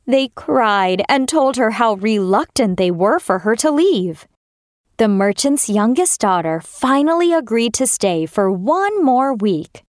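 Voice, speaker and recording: Female storyteller for children's tales: fairly high, animated pitch, recorded close and dry. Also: dry recording. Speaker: narrator. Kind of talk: scripted children's story narration.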